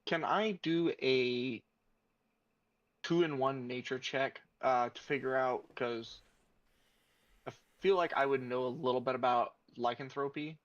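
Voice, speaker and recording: A man playing a tabletop roleplaying game, speaking casually in a measured, halting way. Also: mid-range voice, steady tone. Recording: dull, lo-fi microphone.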